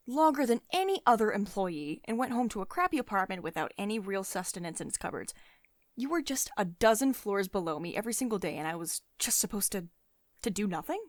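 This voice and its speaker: An intimate ASMR roleplay of a woman playing a powerful female tech boss, protective and devoted beneath her authority. Her voice sits at an ordinary female pitch and is recorded very dry, with no room around it. She speaks earnestly and with control, as if to one cherished employee. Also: dry recording, lightly acted. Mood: agitated, protective. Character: commanding, protective female tech CEO, secretly devoted and tender. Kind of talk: ASMR girlfriend roleplay, intimate acted monologue.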